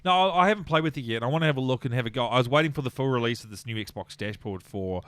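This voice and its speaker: A man's voice: mid-range voice, fairly bright tone, dry recording. A man with a New Zealand accent co-hosting a tech podcast, chatty and jokey. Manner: chatty, jokey, quick asides. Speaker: podcast co-host. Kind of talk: casual tech chat.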